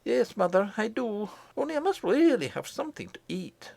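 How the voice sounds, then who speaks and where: A man's voice: high voice, big pitch swings, moderate pace, gravelly, darkish tone, dry recording, pitched above the performer's own voice, full character voice. A man voicing a brave, dutiful young miner boy, earnest, impulsive and polite, in a storybook reading. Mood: hungry, insistent.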